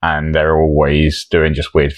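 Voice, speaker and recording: A man's voice: a bass voice, completely flat delivery, a little slow, no room sound, clean studio sound. A man co-hosting a comedy podcast, chatting casually and keeping score. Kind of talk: casual comedy panel chat.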